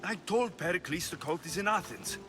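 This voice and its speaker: An actor playing a protective, earnest Greek mercenary hero in a video game, speaking directly and with urgency. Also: male voice, high voice, lively intonation, a little fast. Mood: worried. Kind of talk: dramatic video game acting. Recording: music under the voice.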